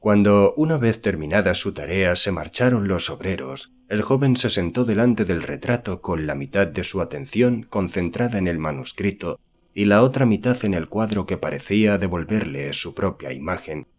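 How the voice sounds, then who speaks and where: A man reading a horror novel as an audiobook narrator, in a measured, formal storytelling manner. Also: deep voice, lively intonation.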